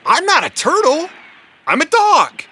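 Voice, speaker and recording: An actor voicing a friendly, excitable dog in a children's cartoon, speaking in an eager, exclamatory way. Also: high-pitched, unhurried, full character voice. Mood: startled, earnest. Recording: background music.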